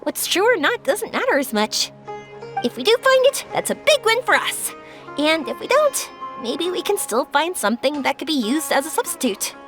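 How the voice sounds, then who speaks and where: High, lively cheerful young heroine. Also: female voice, normal speed. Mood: excited. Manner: earnest, reassuring, upbeat. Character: cheerful, kind, energetic young woman helping search. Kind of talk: video game character acting. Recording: game music under the voice.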